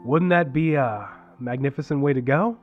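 A man playing a bitter, despairing survivor of a dying planet in an audio drama, profane, dramatic and halting. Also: medium pitch, lo-fi sound, soft background music, lightly acted. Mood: despairing, menacing.

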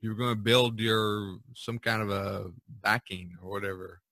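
Man, podcast host, bright voice, folksy, rambling casual chat, dry recording. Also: fairly low pitch, little pitch movement, moderate pace, creaky, no echo.

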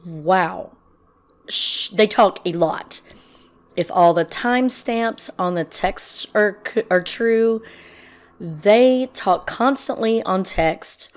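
Slow, low-voiced woman commentator. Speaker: host. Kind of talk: informal commentary.

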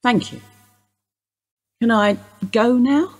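Woman acting a husky, composed bereaved mother. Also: fairly high pitch, slow, full character voice. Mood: quietly composed. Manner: calm, understated, dry. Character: weary, composed bereaved English mother under police questioning. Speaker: actor in a radio play. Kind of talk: naturalistic radio drama acting.

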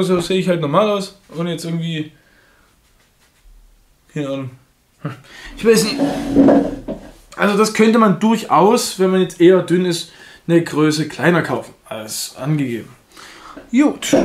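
Chatty young German man, fairly high voice. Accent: German with a slight foreign lilt. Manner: chatty, frank, laughs at himself. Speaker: unboxing reviewer. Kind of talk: casual unboxing commentary.

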